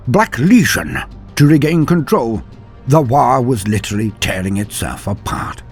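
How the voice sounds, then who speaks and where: A man's voice: mid-range voice, a little mellow, husky. A man narrating a comedic mock-epic fantasy tale in a theatrical, wry, mock-solemn way, with dramatic emphasis and dry asides. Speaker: storyteller and channel narrator.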